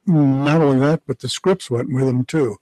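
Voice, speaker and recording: Older guy, radio historian guest, mellow voice, short plain answers, dry studio. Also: medium pitch, steady tone, normal speed, dry recording, rough recording. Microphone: studio mic with headphones.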